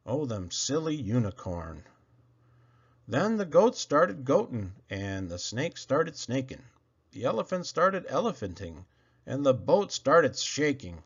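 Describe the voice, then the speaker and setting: Animated man reading children's verse aloud. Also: medium pitch, breathy edge, muffled recording. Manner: animated, theatrical, drawn-out phrasing. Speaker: children's poetry reader.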